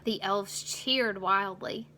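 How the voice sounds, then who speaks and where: A woman's voice: mid-range voice, slow, pushed and bright, a little hiss or hum. A woman reading a children's storybook aloud as a teacher, expressively, with little asides to young listeners about the pictures.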